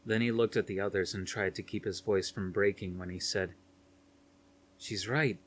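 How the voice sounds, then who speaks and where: A man's voice: sits low, steady tone, fairly quick. A man reading a thriller novel aloud as scripted audiobook narration. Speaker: audiobook reader.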